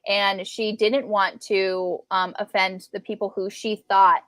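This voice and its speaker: A woman co-hosting a casual book discussion, animated and given to repeating words for emphasis. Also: mid-range voice, slow pace, no echo. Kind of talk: casual live discussion of classic books.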